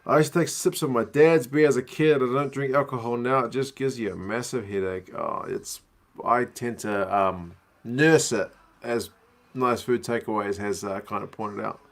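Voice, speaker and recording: Young kiwi bloke, accent a bit mixed from living overseas, chill slangy stream chat. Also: male voice, mid-range voice, normal speed. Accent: New Zealand, mixed from years abroad. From New Zealand. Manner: relaxed, chatty, slangy. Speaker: rugby watch-along streamer. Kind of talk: casual live-stream chat reading viewer messages.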